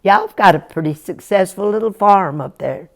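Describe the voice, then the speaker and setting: A woman's voice: medium pitch, animated, moderate pace, voice pushed up, natural voice. Woman doing a folksy storekeeper. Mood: kindly. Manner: folksy, fatherly drawl. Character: kindly country storekeeper, middle-aged man.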